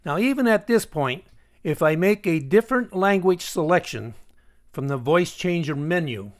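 Male tutorial host, voice a bit high, measured step-by-step screencast narration. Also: expressive.

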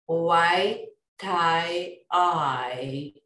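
Woman, Bosnian native speaker, hesitant careful repetition, roomy echo, very slow. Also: lowish voice, fairly bright tone, a little hiss or hum. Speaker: learner repeating drills. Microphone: webcam on a video call. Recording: echoey room on a video call.

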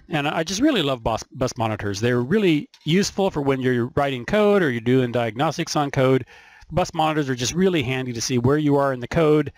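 A man talks through a hobby electronics show-and-tell in an informal, unscripted way. His mid-pitched voice comes in halting stretches as he thinks aloud, and the sound is dry, with very little room in it. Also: no echo. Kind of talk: informal show-and-tell explanation of circuit boards.

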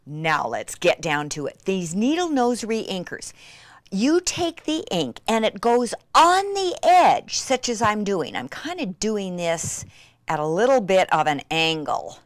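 A woman guides the listener through a craft technique as an unhurried demonstrator. Her pitch swings widely as she gushes, breaking into breathy, excited exclamations. The sound is close and dry, with very little room in it. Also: no room sound. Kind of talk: hands-on craft tutorial with excited asides.

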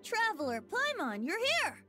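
A man's voice: extremely high pitch, wildly swooping pitch, a little slow, heavily acted. A performer voicing a playful, carefree young male bard in a video game. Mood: cheerful. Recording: game audio with soft music.

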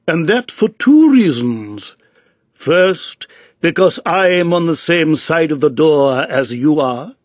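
A solemn storybook frog footman, drawls every phrase with stubborn, dim indifference. The voice sits well above his natural register and moves very slowly, in a dry, slightly muffled recording. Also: a little high, animated, no echo, pitched above the performer's own voice. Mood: pedantic, unbothered. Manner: slow, drawn-out, solemn, stubbornly unhurried delivery. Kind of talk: children's storybook character voice.

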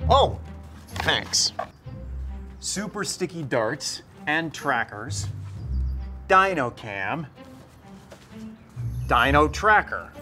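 An actor in his forties playing a bumbling, excitable park ranger in a children's live-action show, recorded outdoors. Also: drawn-out words, pauses often, full character voice. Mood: cheerful. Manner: goofy, excitable, lively pitch. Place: outdoors in dry woodland. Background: music.

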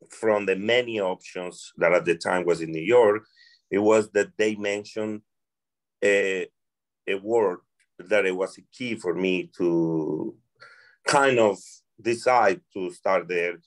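Slow-spoken man with a Colombian Spanish accent. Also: lowish voice. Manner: slow, careful, searching for words in English. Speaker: restaurant worker guest. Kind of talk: interview conversation.

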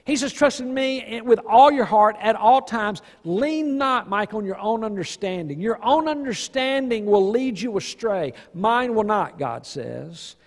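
A man preaching a sermon in an emphatic, folksy way, pausing for weight and asking rhetorical questions. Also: sits high, animated. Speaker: pastor. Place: church sanctuary stage at a lectern. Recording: long reverb of a church hall.

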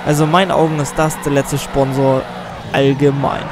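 A man's voice: mid-range voice, little pitch movement, normal speed. A teenage boy chatting casually through a racing game, rambling and self-deprecating, a little under the weather with a cold. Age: teens. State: has a slight cold. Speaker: let's play gamer. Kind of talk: casual gameplay commentary. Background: game music and car sounds.

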